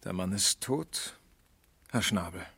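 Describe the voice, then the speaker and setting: Deep-voiced, probing police lieutenant. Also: male voice, expressive, creaky, sharp tone, breathy edge, dry recording, exaggerated voice. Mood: stern, probing. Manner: deliberate, probing, official. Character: pressing, methodical male police lieutenant questioning people. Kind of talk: 1970s German radio crime drama acting.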